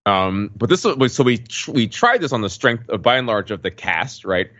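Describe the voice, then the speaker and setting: A man's voice: mid-range voice, big pitch swings, normal speed, a bit bright, no echo. An American man on a podcast panel giving a casual, informal recap with repeated starts, on a slightly muffled mic.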